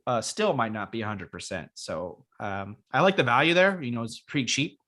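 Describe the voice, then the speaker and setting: A young man co-hosting a casual fantasy football show, his voice raspy from being sick, talking in a relaxed, rambling way with many fillers. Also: medium pitch, normal speed. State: raspy after illness. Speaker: podcast co-host. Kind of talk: casual sports chat.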